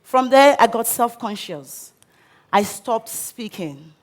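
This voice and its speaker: A Nigerian woman in her thirties telling her own life story on stage, earnest and measured with pauses. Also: fairly high pitch, lively intonation. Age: 30s. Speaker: speaker. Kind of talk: personal story talk on stage. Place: stage before a live audience.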